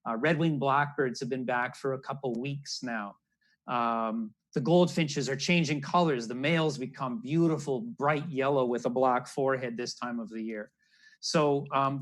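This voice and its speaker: A man, giving an educational talk for children as a naturalist over a video call. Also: medium pitch. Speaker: naturalist, storyteller. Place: room at home, indoors. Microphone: wired earbuds on a video call.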